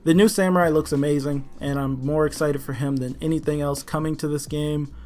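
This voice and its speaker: A man's voice: fairly high pitch, fairly even intonation, fairly quick, darkish tone. A man delivering scripted gaming news commentary in a casual, opinionated way, talking straight to viewers. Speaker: video game news YouTuber. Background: background music.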